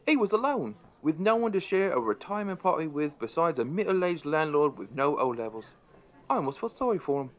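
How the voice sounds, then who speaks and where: Guy playing middle-aged landlord telling a radio play story, dry and understated, voice a bit high. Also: sing-song, brisk. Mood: reflective, wry. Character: wry middle-aged male pub landlord, unimpressed storyteller. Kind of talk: first-person narration in a radio play.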